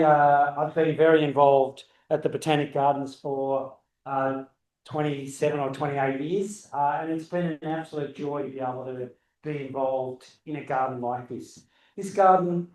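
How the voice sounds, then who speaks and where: Booming man in an echoey room. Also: darkish tone. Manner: loose, rambling, self-correcting. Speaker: guest speaker, landscape architect. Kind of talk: informal talk to a club. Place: meeting room. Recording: roomy echo, distant camera.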